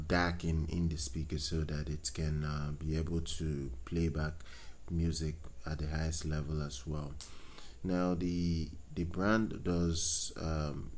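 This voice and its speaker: Very deep, flat-voiced man talking gear. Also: slight background noise. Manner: halting, many fillers and restarts. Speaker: tech channel host. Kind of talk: unscripted product news talk. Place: voice-over on screen recording.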